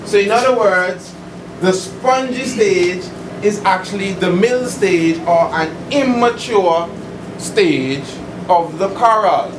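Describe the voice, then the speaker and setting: A man's voice: high-pitched, slow. Guy preaching kinda lecture style, emphatic, repeats himself, echoey room and pretty noisy recording. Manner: emphatic, didactic, with repetition and rhetorical questions. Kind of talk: informal esoteric lecture to a live audience. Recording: distant mic, echoey room, noisy hum.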